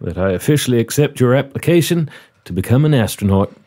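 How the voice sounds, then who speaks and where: A man's voice: medium pitch, lively intonation, fast, warm tone, natural voice. A man voicing a courteous, genial commander conducting an interview in a comedy role-play. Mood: official, approving. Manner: genial, formal, folksy politeness.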